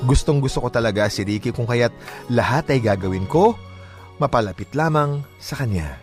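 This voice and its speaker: A man's voice: medium pitch, lively intonation, moderate pace. A man narrating a letter for a radio drama in a scripted, dramatic style. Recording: music playing under the voice.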